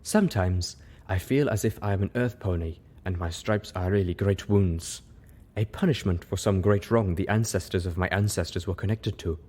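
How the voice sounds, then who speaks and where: A man's voice: low-pitched, moderate pace, darkish tone. Man voicing a stoic, resigned zebra mare. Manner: intoning, plain-spoken, formal. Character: stoic, resigned adult female zebra outcast. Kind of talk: storybook character voice.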